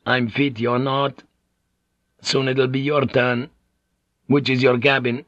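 A man's voice: mid-range voice, flat delivery, takes it slowly, fairly bright tone, no echo, muffled recording, voice pushed up. A man voicing a brash, swaggering Portuguese ship's pilot in an audiobook, cocky, bluffing and coarse-mouthed. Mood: worldly, teasing.

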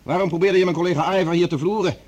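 An actor playing a blunt, impatient scientist who presses a suspect with accusing questions in a radio drama. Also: male voice, high voice, fairly even intonation, very fast, a little mellow. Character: brisk, accusing male scientist interrogating a suspect.

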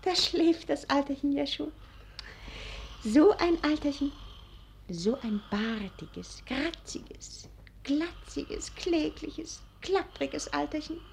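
A woman's voice: sits high, lively intonation, slow, vocal fry, slight background noise, full character voice. An actress playing a naive, girlish, insistent young bride in a 1940s radio play. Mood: scolding, mocking.

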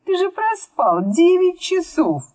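Woman as a caring mother, lively intonation. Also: extremely high pitch, takes it slowly, no echo, clean studio sound, voice pushed up, heavily acted. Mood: reassured. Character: schoolboy's mother, caring, mildly reproachful.

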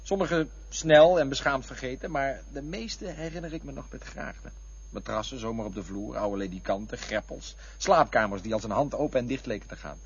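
A restless lover who has chased warmth from bed to bed, played by a man. His voice is husky and he talks quietly and wistfully, then turns sharp and accusing. It sounds like an old, dull-sounding radio play. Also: mid-range voice, moderate pace. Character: restless adult man chasing love and bodies. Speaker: lover recalling past affairs.

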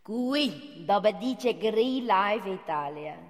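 Brash Roman streetwalker, provocative and very animated, radio play in a reverberant hall. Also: medium pitch, extremely slow. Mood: bantering. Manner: teasing, provocative, colloquial. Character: brash, teasing streetwalker chatting up a policewoman. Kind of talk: theatrical radio drama monologue.

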